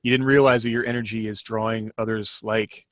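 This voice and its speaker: A man chatting casually as a podcast panelist, a little halting, with a jokey, self-deprecating manner. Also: lowish voice, level tone, fairly bright tone, no room sound. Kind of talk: casual chat.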